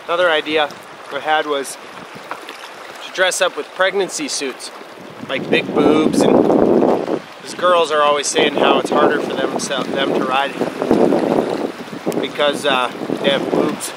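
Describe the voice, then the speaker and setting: A young man chats offhand and deadpan while sitting in a small boat out on a frozen lake. His voice sits a little high and comes from a camera set back from him. Heavy wind and motor noise roar over everything. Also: measured pace, long pauses. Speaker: snowboarder. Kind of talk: casual rambling vlog to camera. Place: small open boat on a lake outdoors in winter. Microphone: wide-angle camera mounted on the boat. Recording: distant mic with loud wind and boat noise.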